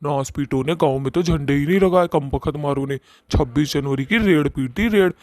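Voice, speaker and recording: Comic-horror ghost, played by a man: blustering, menacing bully with a slightly high voice and dry sound. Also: normal speed, a little mellow, no echo, close to an everyday voice. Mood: boastful. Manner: blustering, emphatic, threatening. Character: swaggering male ghost, crude, bullying, menacing. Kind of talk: Hindi cartoon horror-comedy acting.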